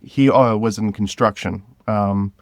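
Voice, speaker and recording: A man chatting casually as an interview guest, halting as he searches for words. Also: on the low side, dry recording. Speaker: podcast guest, writer and creator.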